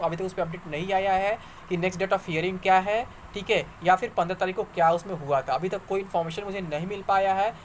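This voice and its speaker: A man's voice: high-pitched, slight background noise. A man giving informal explanatory commentary to viewers, conversational and argumentative, repeating points for emphasis. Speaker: exam news YouTuber.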